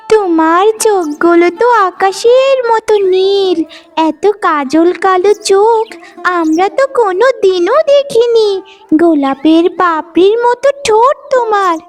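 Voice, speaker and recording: A woman voicing a young talking fawn in a cartoon, gushing with sweet affection and flattery. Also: very high-pitched, measured pace, soft background music. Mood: admiring, affectionate. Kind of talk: cartoon voice acting.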